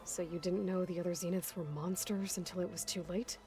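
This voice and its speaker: A woman's voice: lowish voice, fairly even intonation, brisk, lightly acted. An actress voicing a blunt, questioning young woman hunter in a video game cutscene, asking direct, probing questions. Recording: game cutscene with music underneath.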